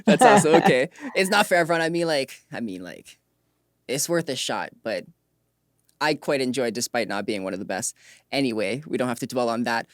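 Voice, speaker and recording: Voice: medium pitch, lively intonation, fairly quick, dry recording. Chatty interview host, dry close sound. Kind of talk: upbeat interview hosting with scripted introduction.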